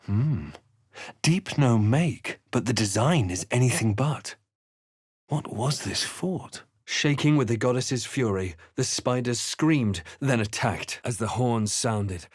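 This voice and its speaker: A man playing a composed, brooding fantasy adventurer in a video game, telling events in a measured, dramatic way. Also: medium pitch, no echo, studio quality, natural voice. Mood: musing. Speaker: player character voice. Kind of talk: scripted game narration and character lines.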